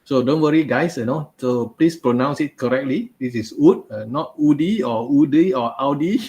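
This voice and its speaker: A man's voice: medium pitch, normal speed, a little mellow, lo-fi sound. Man, webinar host, chatty, lots of "you know", video call from a home office. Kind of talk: live webinar interview. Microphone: video call webcam.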